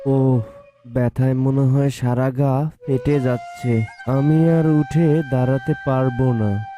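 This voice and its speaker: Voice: mid-range voice, fairly even intonation, slow, lightly acted. A performer voicing a devoted tiger husband in a cartoon folk tale, with a plaintive manner. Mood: pained, weak. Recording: music under the voice.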